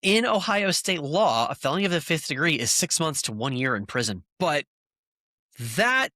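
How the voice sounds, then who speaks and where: Podcast host, animated and sarcastic, bright dry sound, recording at home. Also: mid-range voice, moderate pace, no room sound. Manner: animated, incredulous, sarcastic asides. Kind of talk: casual podcast chat.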